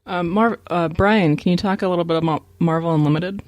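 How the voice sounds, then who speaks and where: A man's voice: high-pitched, brisk, dry recording. A man co-hosting a podcast, chatting casually and explaining things to listeners. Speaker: podcast co-host.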